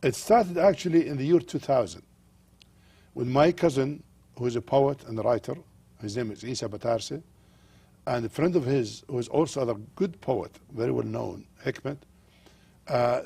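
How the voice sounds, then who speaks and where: Older Jordanian-accented male pastor, gravelly, husky tone, earnest and halting, for an interview guest. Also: medium pitch, mellow. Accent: Jordanian Arabic. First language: Arabic. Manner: earnest, halting with frequent fillers.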